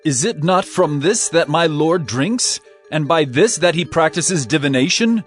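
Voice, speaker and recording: A man's voice: a little high, expressive, a little slow, faint music behind. A man voicing Joseph, a commanding ruler in Egypt, in a dramatized scripture reading.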